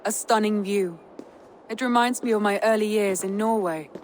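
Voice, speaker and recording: An actress playing a spirited Viking clan wife with an earnest, formal manner in a video game cutscene. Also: female voice, mid-range voice, moderate pace, a little mellow, slight background noise, natural voice. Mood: wistful.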